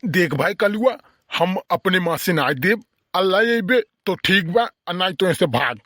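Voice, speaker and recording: A man's voice: sits high, expressive, a little slow, a bit bright, no echo, studio quality, full character voice. A man voicing a grumpy, irritable old village uncle in an Awadhi comedy cartoon, scolding and complaining in an Awadhi accent. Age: older.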